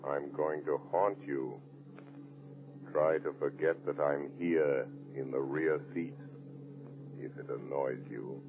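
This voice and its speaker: Menacing phantom avenger, very low, slow and taunting, 1930s radio melodrama, scratchy recording. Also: male voice, fairly even intonation, phone-quality sound, exaggerated voice. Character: invisible menacing male avenger haunting a driver. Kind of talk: 1930s radio thriller acting.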